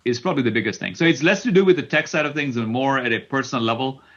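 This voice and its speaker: A man's voice: mid-range voice, lively intonation, very fast, a bit bright, dull audio. A middle-aged man from Kenya answering as an interview guest, repeating words as he thinks aloud. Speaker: tech company CEO, interview guest.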